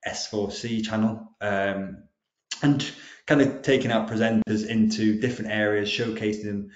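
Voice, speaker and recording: A man's voice: lowish voice, fairly even intonation, moderate pace. A young Welsh man with a Welsh accent, giving a slide presentation, hesitant with frequent ums and restarts. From Wales. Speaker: guest speaker. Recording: dull, roomy online call audio.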